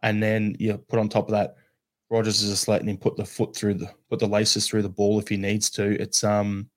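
A man with an Australian accent co-hosting a casual football podcast, animated and acting out his reactions. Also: lowish voice, fairly quick. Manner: animated, acts out reactions in quotes. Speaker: podcast co-host.

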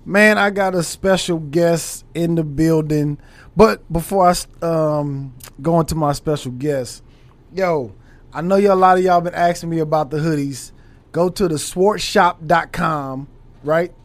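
A man's voice: a little high, unhurried, dry recording. A man from Los Angeles hosting a podcast, chatting in a laid-back, slangy way and talking straight to his viewers. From Los Angeles, USA. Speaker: podcast host. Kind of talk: casual interview chat.

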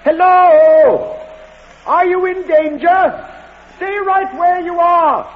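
A fearless woman calls across distance in a very high, slow singsong. The sound is a thin, narrow vintage radio drama recording. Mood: calling out. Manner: drawn-out, singsong calling. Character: unseen woman calling out fearlessly through mountain fog. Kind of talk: old radio drama acting. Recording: thin, band-limited, faintly noisy old radio recording.

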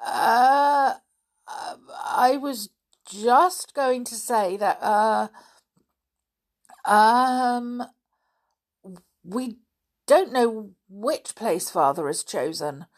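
A woman reader plays a proud young tomboy from a children's storybook, quick to take offence. The delivery swings widely in pitch and blurts out, indignant and headstrong. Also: expressive, vocal fry, darkish tone, breathy edge, full character voice. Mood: flustered. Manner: indignant, blurting, hesitant when flustered. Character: hot-tempered, proud young tomboy girl. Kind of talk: children's storybook character reading.

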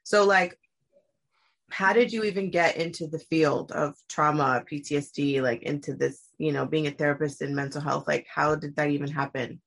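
A woman's voice: sits low, steady tone, moderate pace, gravelly. A woman podcast host interviewing a guest in a casual way, praising her with eager slang and plenty of fillers.

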